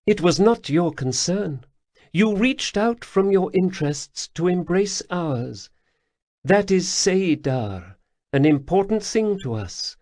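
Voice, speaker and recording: A high, earnest female cat-folk leader, as a male narrator puts her on, proud and grateful, with a formal, slightly broken way of speaking. The reading is dry and close, with a lively lift to each phrase. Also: slow pace, dry recording, heavily acted. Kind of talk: audiobook character voice.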